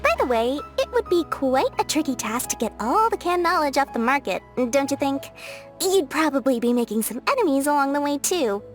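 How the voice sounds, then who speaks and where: An actress voicing a scheming, greedy young merchant in a video game dub, sly and salesy. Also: female voice, sits high, big pitch swings, a little fast, music in the background.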